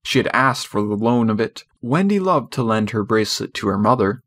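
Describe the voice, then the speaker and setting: A man's voice: fairly low pitch, lively intonation, a little fast, fairly bright tone. A man reading a classic storybook aloud as an audiobook narrator, in scripted narration.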